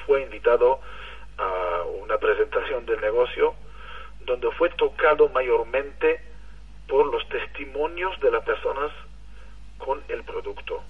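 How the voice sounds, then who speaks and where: Husky Israeli man on a phone line. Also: medium pitch. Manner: hesitant with fillers, non-native phrasing. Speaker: guest speaker. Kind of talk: telephone testimonial. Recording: phone line over music.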